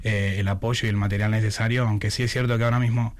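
A man's voice: on the low side, level tone, fast talker. A young man from Argentina speaking casually as an athlete being interviewed. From Buenos Aires, Argentina. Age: young adult, about 19. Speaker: athlete guest, shot putter.

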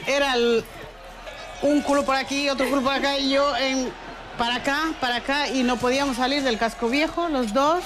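A middle-aged woman with an Ecuadorian accent, casually telling an anecdote in an animated, amused way on a noisy recording. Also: sits high, moderate pace. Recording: noisy documentary clip, crowd behind.

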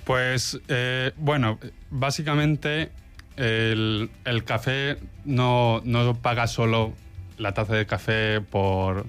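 A man's voice: on the low side, a bit bright, clear, resonant tone. A man from Colombia, a barista guest on a radio show, explaining with many hesitations and fillers. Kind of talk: radio interview.

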